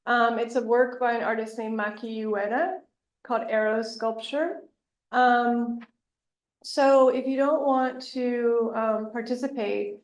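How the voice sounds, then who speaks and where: A woman giving an academic lecture, a little hoarse from bronchitis, thoughtful and pausing often with ums. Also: on the high side. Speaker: guest lecturer. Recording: echoey lecture room.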